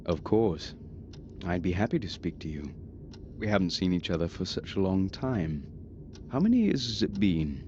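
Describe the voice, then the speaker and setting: Very deep-voiced, formal castle lord. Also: male voice, sing-song, darkish tone. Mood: politely formal. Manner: formal, measured, dismissive. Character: aloof dismissive lord of an old castle. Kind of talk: scripted video game voice acting. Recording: muffled, very noisy game audio.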